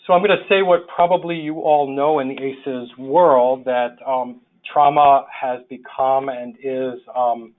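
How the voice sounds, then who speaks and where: A sixty-year-old man with a New York accent giving an informal slide presentation in a halting, reflective way as a webinar presenter. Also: medium pitch, fairly even intonation. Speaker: webinar presenter, public health social worker. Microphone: phone or webinar call. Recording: thin phone-line webinar audio.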